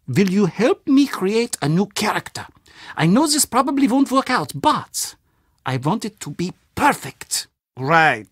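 Fretful, high-voiced older German man. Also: fairly bright tone, no echo, clean studio sound, exaggerated voice. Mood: eager, fussy. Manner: fretful, melodramatic, halting formal phrasing. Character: anxious, gloomy, eccentric older German castle official. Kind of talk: video game character acting.

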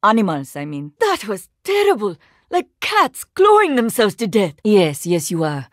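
A woman's voice: medium pitch, wildly swooping pitch, normal speed, dry recording, clean studio sound. An actress playing a proud, poised Indian woman agent in a video game, with an Indian accent and formal, precise, dryly sarcastic phrasing. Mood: dry, sardonic. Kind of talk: video game character voice lines.

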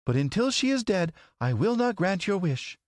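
A man's voice: high voice, very animated, moderate pace, dry recording, clean studio sound, voice pushed up. A man voicing a lovely winged lady wizard in a storybook, sweet yet haughty and regal. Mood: haughty, dismissive. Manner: regal, lofty. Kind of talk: storybook character voice.

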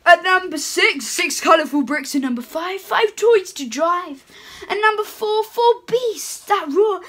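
A young woman playing a jolly, chatty Father Christmas reading a storybook aloud at home, her voice pushed lower in a playful put-on. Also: expressive, a little slow, a bit bright, heavily acted. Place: home room with curtains.

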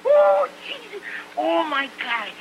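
An actor playing an excitable older immigrant farmer who speaks broken English with stammering exclamations, in old film acting style. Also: male voice, sits high, normal speed, muffled recording, noisy background. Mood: protesting.

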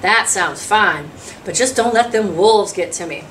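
A woman's voice: medium pitch, measured pace, a bit bright, natural voice. A woman acts out a humble, hungry drifter in a storybook reading. He tells his hard life plainly, in a folksy rural dialect. The sound is a little distant, with faint noise behind. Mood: wry, eager. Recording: distant mic, noisy room sound.

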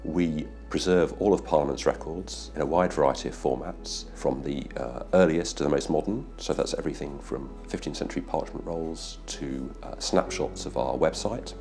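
A man in his forties giving a measured, formal documentary interview about running an archive. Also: very deep voice, darkish tone. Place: wood-panelled office. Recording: faint music underneath.

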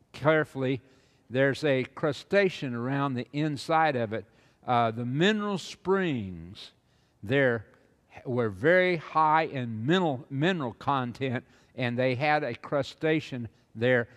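An older man lecturing on stage as a preacher to an audience, slow and rambling, with many "uh"s and repeated words. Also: a little high, long reverb tail. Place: large auditorium stage with audience.

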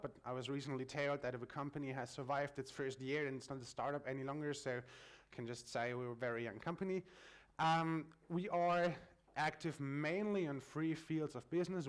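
German-born male conference speaker, friendly explainer, mid pitch, lectern mic. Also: moderate pace, clear, resonant tone. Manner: friendly, explanatory with filler sounds. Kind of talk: technical conference talk.

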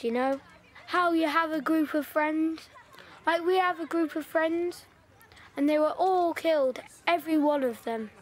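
A woman's voice: high-pitched, a little hiss or hum. An English actress playing a chatty village girl telling of her father and wartime life, in a radio play. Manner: chatty, plain storytelling with fillers.

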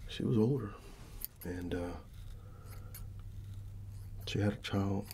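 A middle-aged American man doing a soft-spoken ASMR barber roleplay, breathy and often near a whisper, with an unhurried, halting, fatherly manner. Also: on the low side, slight background noise. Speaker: ASMR performer playing a barber.

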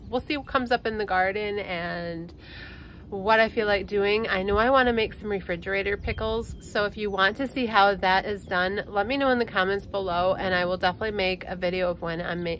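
A woman's voice: mid-range voice, a bit bright, slight background noise. Congested woman vlogging in her garden. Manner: chatty, friendly explainer. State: congested, nasal from allergies. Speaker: gardening channel host. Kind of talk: casual walking garden vlog. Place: outdoors on a porch and in a garden. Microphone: phone camera held at arm's length.

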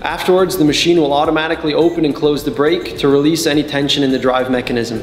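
A man in his twenties narrates a training guide in a measured, methodical way. His voice sits a little on the high side for a man. The sound is clearly echoey, with music playing behind him. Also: steady tone. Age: 20s. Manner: measured, step-by-step explaining. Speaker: technical support and customer trainer. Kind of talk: scripted instructional narration. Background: background music.

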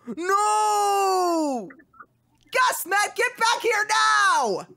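A woman's voice: extremely high pitch, big pitch swings, extremely slow, creaky, sharp tone, full character voice. Woman playing a melodramatic, exasperated duck vampire. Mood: exasperated outburst. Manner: theatrical, exasperated. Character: exasperated, melodramatic male duck vampire heartthrob from comic parody. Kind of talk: live comic dub reading.

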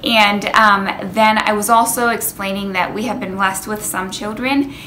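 A woman's voice: medium pitch, fairly even intonation, moderate pace, sharp tone, slight background noise, poor audio. A woman in her thirties talking earnestly to a camera in a personal vlog, sharing her own story with many fillers. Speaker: wellness coach. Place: indoor room, plain wall behind. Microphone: camera in front, medium framing.

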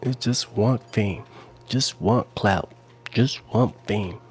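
A man's voice: on the low side, slow. A man in his forties hosting a playful ASMR stream close to the mic, often whispering and repeating words and phrases. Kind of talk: ASMR live stream, whispered trigger words and casual chat. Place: small room at home with gaming screen. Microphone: close handheld recorder on stand with foam windscreen.

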